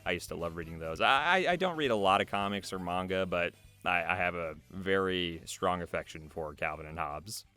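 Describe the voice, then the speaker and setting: A man's voice: low-pitched, fairly quick, hardly any pauses, sharp tone, clear, resonant tone. A man hosting a casual interview, asking questions and chatting. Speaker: interviewer, host. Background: video game music.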